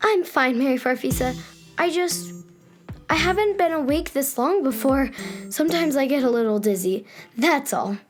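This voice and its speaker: Big dramatic giant summer girl, high voice, boastful, kids radio play, music under it. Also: lively intonation, moderate pace. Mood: breezy, woozy. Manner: dramatic, exclaiming. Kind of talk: children's radio play acting.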